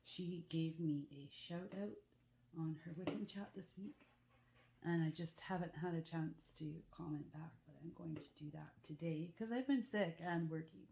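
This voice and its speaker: Low, mellow woman with a rough, congested voice. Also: normal speed, rough recording. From Canada. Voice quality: rough, croaky. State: congested, rough throat from a cold, throat-clearing. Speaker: craft vlogger. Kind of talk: casual chat while crafting. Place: at home with the back door open.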